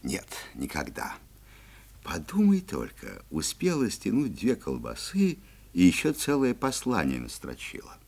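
A man's voice: low-pitched, huge pitch swings, unhurried, creaky, darkish tone, breathy edge, exaggerated voice. An actor playing a kindly forester father in a children's audio play, marvelling and emphatic as he explains things to his boy. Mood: marvelling, amused. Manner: emphatic storytelling to a child, astonished. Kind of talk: 1970s Soviet audio play acting.

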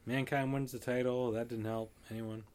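A man's voice: medium pitch, little pitch movement, normal speed, darkish tone, slight background noise. A man co-hosting a casual chat, rambling and thinking aloud as he talks.

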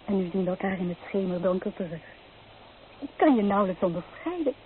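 Lo-fi old radio play with faint noise, woman acting an earnest young bride-to-be, mid-pitched, dreamy, wistful. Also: expressive, brisk, lightly acted. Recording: muffled, noisy old radio recording.

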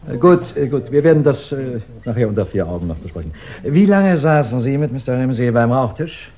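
A male actor plays a probing, courteous detective in a 1960s radio crime drama. He questions methodically and insistently at a middle pitch, with very varied intonation. The old recording is slightly dull. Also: animated, fairly quick, warm tone, lightly acted. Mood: polite, insistent.

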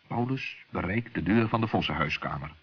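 Male children's narrator: husky, lively intonation, muffled vintage radio recording. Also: sits low, a little fast. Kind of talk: expressive children's story narration.

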